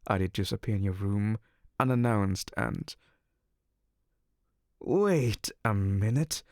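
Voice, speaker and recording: A man voicing a gravelly, sardonic incubus. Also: fairly low pitch, lively intonation, takes it slowly, a little mellow, dry recording, clean studio sound. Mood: sarcastic, exasperated. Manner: theatrical, sarcastic and exasperated. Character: exasperated sardonic male incubus demon. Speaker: ASMR roleplay voice actor. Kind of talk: ASMR audio roleplay.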